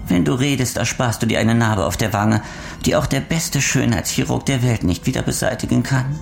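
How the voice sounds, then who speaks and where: An actor playing a coldly menacing criminal interrogator in a crime radio drama, mock-courteous, taunting and deliberate. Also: male voice, mid-range voice, gravelly, soft background music, close to an everyday voice. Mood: sadistic, menacing.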